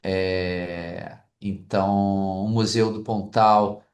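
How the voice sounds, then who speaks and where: A man's voice: low-pitched, level tone, very slow, a little mellow. A man from Brazil with a Brazilian Portuguese accent, a museum director, answering interview questions hesitantly with many filler sounds. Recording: echoey room.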